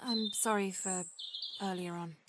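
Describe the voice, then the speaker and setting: A woman's voice: mid-range voice, fairly even intonation, unhurried, noisy background, lightly acted. An actress playing a rebellious, impulsive young maid in a video game, blunt in her speech. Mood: apologetic, friendly. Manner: blunt, impulsive, swears freely. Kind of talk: naturalistic video game acting.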